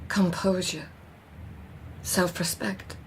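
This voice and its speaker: An actress playing an anxious, dignified palace lady in an audio drama, speaking formally with a troubled, breathy manner. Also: on the low side, steady tone, takes it slowly, in a whisper, poor audio. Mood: deferential, wary.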